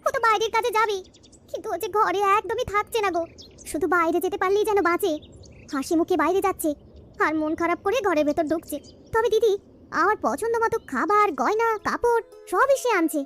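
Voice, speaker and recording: A woman's voice: extremely high pitch, fairly quick, fairly bright tone, voice pushed up. A woman voicing an anxious, aggrieved village wife in a cartoon story, speaking in a plaintive, colloquial way.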